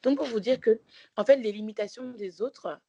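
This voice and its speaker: A woman's voice: fairly high pitch, normal speed, gravelly, warm tone, dry recording. A woman co-hosting a podcast, telling a story in casual chat with many restarts and fillers. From Belgium. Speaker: podcast co-host.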